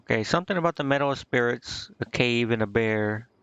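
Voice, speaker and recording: A man's voice: medium pitch, brisk, fairly bright tone, clear, resonant tone, dry recording, lo-fi sound. A man giving casual live gameplay commentary, muttering and thinking aloud with hesitation. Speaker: game streamer. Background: game music.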